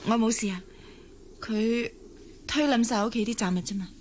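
A woman's voice: fairly high pitch, moderate pace, slight background noise, lightly acted. An actress playing a kind, reclusive young woman in a radio drama, earnest, with a Hong Kong Cantonese accent. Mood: weary, guarded. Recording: prominent music behind the voice.

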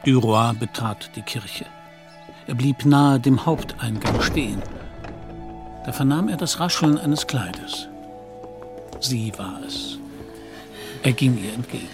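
Male literary narrator, medium pitch. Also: slow pace, pauses often, over music. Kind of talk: scripted literary narration for radio drama.

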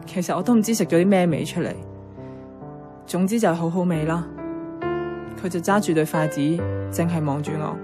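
A woman's voice: lowish voice, steady tone, moderate pace, pauses often, lightly acted. An actress with a Hong Kong Cantonese accent playing a sentimental young woman in a radio drama, narrating and acting. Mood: gentle. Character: sentimental young woman about to part from her love. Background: music, plucked guitar.

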